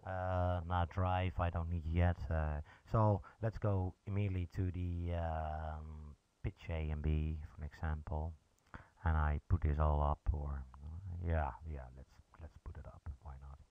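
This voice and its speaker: A man with a low voice and a Dutch accent talks through a casual tutorial. He is laid-back and hesitant, rambling from point to point. The recording is dull and lo-fi, like a simple home setup. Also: fairly even intonation, a little slow, smooth. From Netherlands (Amsterdam). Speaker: music production tutorial host. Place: indoors at a computer, voice over screen recording.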